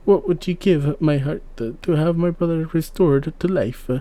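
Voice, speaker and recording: A man's voice: fairly high pitch, normal speed, warm tone, pitched above the performer's own voice, close to an everyday voice. A man playing an earnest, pleading king. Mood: desperate. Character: devoted, impulsive storybook king.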